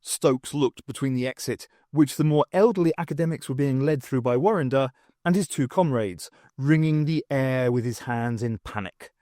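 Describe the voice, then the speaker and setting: A man's voice: medium pitch, moderate pace, mellow, dry recording, clean studio sound. A man reading an audiobook as narrator, in a scripted storytelling style.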